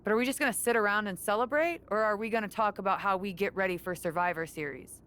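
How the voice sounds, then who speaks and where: A confident wrestling leader in a video game, played by a woman. Her voice sits at a medium pitch, assured and persuasive as she rallies her allies. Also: quick pace, fairly bright tone, smooth, lightly acted. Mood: businesslike. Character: confident, encouraging veteran female wrestler rallying allies. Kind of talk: acted video game cutscene dialogue.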